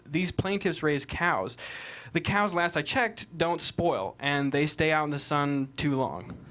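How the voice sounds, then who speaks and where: Man playing pointed defense attorney, higher voice. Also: measured pace, fairly bright tone. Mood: explaining. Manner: pointed, methodical questioning. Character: probing, argumentative male defense attorney. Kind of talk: scripted table read of courtroom drama.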